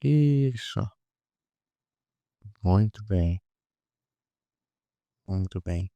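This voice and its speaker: A man with a Brazilian Portuguese accent leading a guided meditation, soothing and repetitive, with pauses between drawn-out phrases. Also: low voice, animated, creaky, dark tone, no echo. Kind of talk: guided meditation, hypnotic induction.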